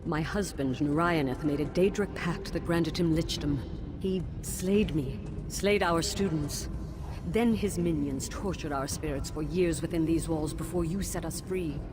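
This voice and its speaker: An actress playing a grieving, resolute ghostly headmistress and betrayed wife, with a solemn, earnest delivery in a fantasy game. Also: female voice, sits low, measured pace, background noise. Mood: grave, urgent. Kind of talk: dramatic fantasy game voice acting.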